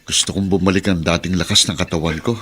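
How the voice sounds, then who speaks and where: An actor doing a weak but tender husband and father with a low voice. He sounds emotional and pleading, in radio-drama style, over a faint hiss. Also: steady tone, fast, natural voice. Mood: hopeful.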